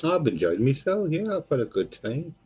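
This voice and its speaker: A man with a Geordie accent, co-hosting a relaxed live stream and chatting casually, swearing freely and rambling between jokes. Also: on the high side, normal speed, breathy edge, lo-fi sound. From North East England.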